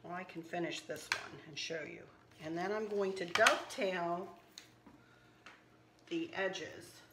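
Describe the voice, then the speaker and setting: A slightly hoarse woman hosting a casual craft tutorial, chatting and teasing herself as she works. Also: lowish voice, takes it slowly, echoey room, heavy background noise. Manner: chatty, self-teasing, talks while working. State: a little hoarse. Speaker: craft channel host. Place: kitchen counter indoors.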